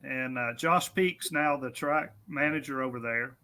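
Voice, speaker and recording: A man's voice: medium pitch, measured pace, pushed and bright. A man, a guest talking about his racetrack in an easygoing, self-deprecating, friendly way. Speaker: drag strip guest. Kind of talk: casual on-air interview.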